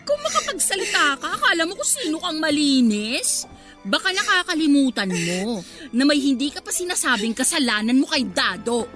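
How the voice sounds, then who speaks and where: A woman's voice: high voice, big pitch swings, moderate pace. A woman playing a vain, spiteful mistress in a Filipino radio drama, sassy, insolent and goading. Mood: taunting, spiteful. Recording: faint music bed behind the voice.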